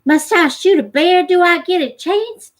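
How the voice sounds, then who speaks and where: A woman's voice: very high-pitched, animated, measured pace, voice pushed up. A woman voicing an eager young backwoods boy in a storybook, pleading in rural dialect.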